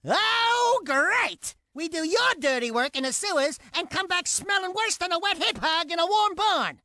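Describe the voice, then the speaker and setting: An actor playing a small, cocky animal sidekick in a video game, sarcastic, whiny and theatrically mouthy. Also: male voice, very high voice, animated, measured pace, bright, forceful tone, no echo, clean studio sound, heavily acted. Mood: indignant, whiny. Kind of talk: cartoonish video game acting.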